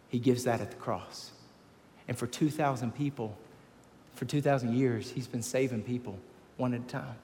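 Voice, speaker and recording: A man's voice: mid-range voice, darkish tone, long reverb tail. A man preaching a sermon to a men's group, emphatic and repeating key phrases. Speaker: pastor. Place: large church hall.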